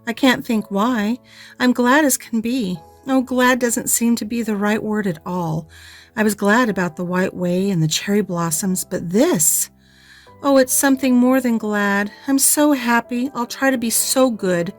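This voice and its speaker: A woman's voice: a little high, normal speed, darkish tone, soft background music, pitched above the performer's own voice, natural voice. A woman voicing an eager, imaginative and talkative orphan girl, pleading and effusive, in an audiobook reading.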